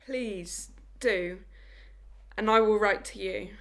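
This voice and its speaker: A woman's voice: mid-range voice, slow. A young woman plays a wartime land girl with a mid-range voice. She is blunt and dutiful, acted for an audio drama. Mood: worried, earnest. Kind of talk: audio play acting.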